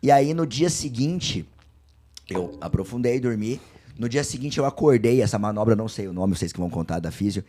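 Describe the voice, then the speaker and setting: A Brazilian man, a guest on a podcast, telling a story in casual chat, hoarse from a cold, animated and swearing freely. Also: on the low side, a little fast. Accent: Brazilian Portuguese. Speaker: guest, plastic surgeon.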